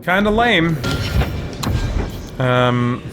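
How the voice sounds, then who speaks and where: A man's voice: fairly high pitch, lively intonation, extremely slow. Man thinking aloud while gaming. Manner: muttering to himself, mild exclamations. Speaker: gaming video host. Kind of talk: casual let's play commentary, thinking aloud. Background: game music.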